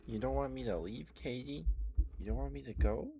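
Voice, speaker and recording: A man speaking softly and breathily as an ASMR streamer, close to the microphone, murmuring gentle affirmations to his viewers. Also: medium pitch, slight background noise. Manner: soft, breathy whispering with slow, repeated affirmations. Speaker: ASMR livestreamer. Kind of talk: ASMR, intimate rambling to viewers.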